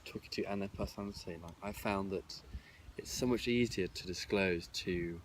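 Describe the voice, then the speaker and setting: A man's voice: deep voice, moderate pace, a little hiss or hum. A man with a stammer, speaking frankly and wryly as a podcast co-host. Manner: frank and wry, occasional stutter repeats. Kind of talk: casual discussion.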